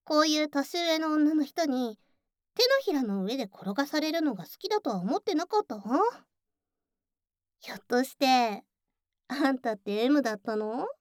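A woman's voice: high-pitched, animated, normal speed, no echo, studio quality. A woman playing a prickly but secretly caring young tsundere friend, brusque and teasing in an ASMR voice drama. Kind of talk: ASMR situation voice drama acting.

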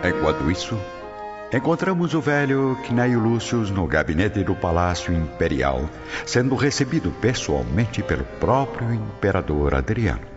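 Music runs clearly beneath a low male narrator on a dull radio recording. He reads scripted drama with a very animated, theatrical swing to his deep voice. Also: measured pace.

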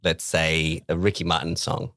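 A comedian in casual podcast chat, talking hesitantly with restarts and self-deprecating humour. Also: male voice, sits low, monotone, normal speed, a bit bright.

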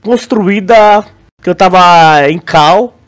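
A man with a Brazilian accent in a casual podcast discussion, explaining in a halting way, repeating words and often checking that his listener agrees. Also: high voice, clean tone. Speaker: podcast co-host.

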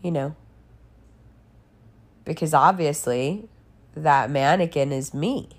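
A woman's voice: deep voice, slow pace. A woman giving a candid personal monologue in a blunt, emphatic way, with frequent swearing. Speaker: podcast and channel host.

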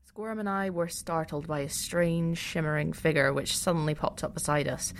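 A woman's voice: low-pitched, fairly even intonation, normal speed, slight background noise. A woman playing a polite female adventurer who tells her own spooky tale in an audio drama. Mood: narrating, curious. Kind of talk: audio drama narration and acting.

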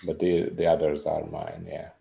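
A man's voice: extremely low pitch, monotone, moderate pace, gravelly, dark tone, dull audio. A man in his thirties from Hungary, speaking English with a Hungarian accent, a musician guest earnestly explaining his work in a casual interview. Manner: earnest, rambling explanations with restarts.

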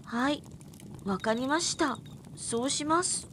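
Woman playing a very high, meek young woman. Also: slow pace, noisy background. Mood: hesitant, meek. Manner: polite, tentative. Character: polite, hesitant young woman, earnest and meek. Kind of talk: audio drama acting.

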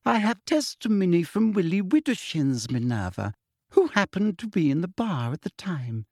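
A man's voice: a little high, big pitch swings, normal speed, a little mellow, breathy edge, no echo, clean studio sound, pitched above the performer's own voice, exaggerated voice. A man voicing a prim, smug middle-aged woman official with a sickly sweet manner. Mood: sugary smug.

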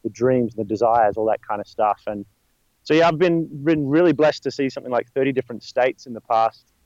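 A man's voice: mid-range voice, fairly quick, darkish tone, no echo, muffled recording. A man with an Australian accent, chatting as an interview guest, rambling with many fillers and restarts. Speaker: guest, stuntman. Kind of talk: casual interview talk.

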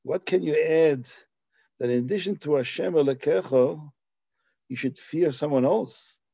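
Man, raised in Belgium, Torah teacher, Talmudic lecture, husky, muffled lo-fi recording. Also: on the high side, unhurried, dark tone, dry recording. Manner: explanatory, with Hebrew and Yiddish terms and frequent restarts.

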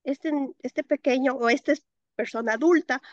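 Expressive Ecuadorian woman, interview guest. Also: sits high, moderate pace, no echo, highs cut off. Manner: expressive, laughs often, mixes in English words. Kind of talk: interview answers.

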